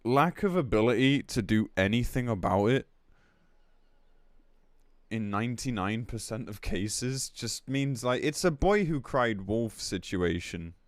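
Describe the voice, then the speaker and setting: A man's voice: medium pitch, expressive, unhurried, dry recording. A man live-streaming in a casual, blunt, exasperated way, commenting between bits of reading aloud and swearing freely.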